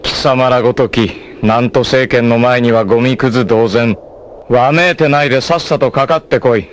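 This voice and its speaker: A man's voice: medium pitch, moderate pace, close to an everyday voice. A man playing a proud, vengeful martial-arts warrior in a 1980s anime, cool, ruthless and theatrical in delivery. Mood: scornful. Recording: noisy old anime clip compilation.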